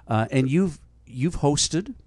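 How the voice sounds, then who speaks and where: A man's voice: on the high side, animated, slow, darkish tone, no room sound. A man hosting an interview show, joking and playful with his guest. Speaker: talk show host. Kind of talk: casual interview chat.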